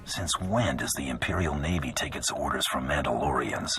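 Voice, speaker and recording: Brief: a blunt game mercenary, extremely deep voice, dry and curt. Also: male voice, normal speed, fairly bright tone, soft background music. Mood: sardonic. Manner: terse, dry and sarcastic. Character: gruff mercenary bounty hunter, blunt and unimpressed. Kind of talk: video game cutscene acting.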